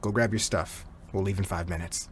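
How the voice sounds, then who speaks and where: Man playing a loyal henchman, low voice, calm, serious, matter-of-fact, audio roleplay, slight noise. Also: fairly quick, close to an everyday voice. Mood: serious, pressing. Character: calm, loyal male henchman of a crime boss.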